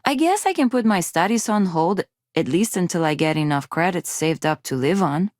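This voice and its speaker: Woman acting as young female student, lowish voice, very varied intonation, hesitant, self-deprecating, awkward, video game character. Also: lively intonation, moderate pace, a little mellow, dry recording, clean studio sound, lightly acted. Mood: resigned, wistful. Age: young adult. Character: shy, self-doubting young undergraduate woman from a poor space colony.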